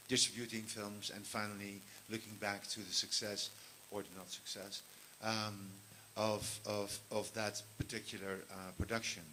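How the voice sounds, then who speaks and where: A man, a film commissioner, speaking on a panel in a halting way with many pauses and restarts. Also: on the low side, a bit bright, slight background noise, poor audio. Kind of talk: panel talk.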